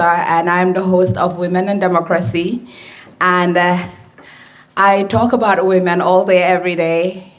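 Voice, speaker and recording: Hoarse Gambian woman emceeing an event. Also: mid-range voice. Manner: upbeat, chatty, with many fillers. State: hoarse from a flu. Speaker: event moderator and radio presenter. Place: conference centre.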